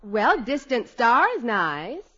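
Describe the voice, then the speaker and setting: A woman's voice: a little high, very animated, slow pace, bright, forceful tone, breathy edge, heavily acted. A woman playing a genteel, gracious, fluttery lady being courted in a 1950s radio comedy. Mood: flattered. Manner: polite, refined, fluttery. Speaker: actress in a radio sitcom. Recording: dull old 1950s radio recording.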